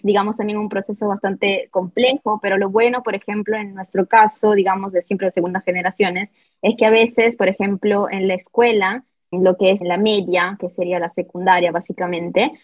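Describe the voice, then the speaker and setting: A woman whose first language is Italian, born and raised in Italy, speaking as a guest in a reflective, halting way with frequent fillers. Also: medium pitch, dry recording. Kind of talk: personal testimony in conversation. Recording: dull lo-fi audio, dry.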